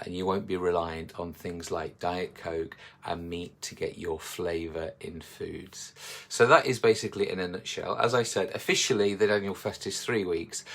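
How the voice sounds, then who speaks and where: A man and slimming coach talking live to his viewers in a chatty, encouraging way. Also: low-pitched. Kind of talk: live social media talk.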